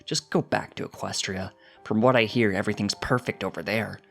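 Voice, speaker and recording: Man as gruff storybook family man, blunt and dismissive, fairly low, light music underneath. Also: fairly even intonation, fairly quick. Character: gruff, blunt village husband, goat or minotaur father.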